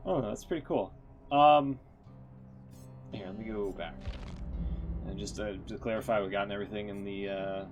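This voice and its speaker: A man giving casual, rambling live-stream commentary as a game streamer, in an informal way. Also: lowish voice. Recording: music playing behind the voice.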